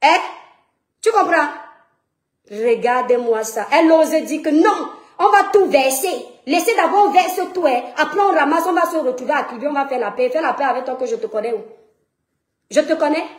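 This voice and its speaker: A woman with a Cameroonian French accent and a high voice, ranting at her critics. She talks in a mocking, confrontational way and keeps firing rhetorical questions. Her voice echoes around the room. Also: animated, moderate pace. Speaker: social media personality. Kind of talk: heated livestream rant to followers. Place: France.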